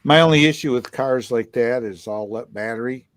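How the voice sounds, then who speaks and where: A man speaks as a co-host in casual conversation. His voice sits at a middle pitch for a man. The recording is dry and free of room echo. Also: normal speed. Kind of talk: casual chat.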